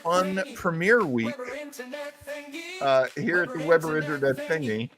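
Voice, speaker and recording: A man's voice: a little high, animated, unhurried, music in the background. A man hosting a casual livestream chat, talking in a chatty, jokey way. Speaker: show host.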